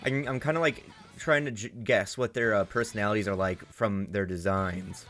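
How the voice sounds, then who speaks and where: A man hosting a casual reaction video, talking excitedly, swearing often and thinking aloud with many restarts. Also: on the low side, moderate pace, faint music behind.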